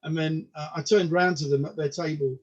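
A man's voice: on the high side, fairly even intonation, brisk, darkish tone. Hesitant older man, dull lo-fi sound. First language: Polish. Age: 60s. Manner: hesitant, stacks of repeated words and fillers. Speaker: comedian guest. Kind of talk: casual interview talk.

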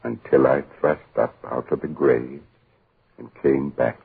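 An actor playing a vengeful corpse back from the grave, speaking slowly and solemnly with menace in 1940s radio horror style. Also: male voice, fairly even intonation, heavily acted. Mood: brooding, mournful menace. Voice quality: gravelly, hoarse, very deep. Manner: slow, solemn, menacing incantation. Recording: muffled tinny 1940s radio recording.

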